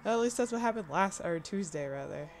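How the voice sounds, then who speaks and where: A woman with a husky voice chatting casually to her online audience during a live stream, rambling, trailing off and restarting, laughing often. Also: fairly low pitch, animated, brisk, background noise.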